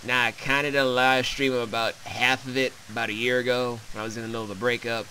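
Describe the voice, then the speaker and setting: A man's voice: medium pitch, flat delivery, quick pace, pushed and bright, slight background noise, poor audio. A man hosting a let's play, giving a casual, wry, rambling and sweary recap of a visual novel.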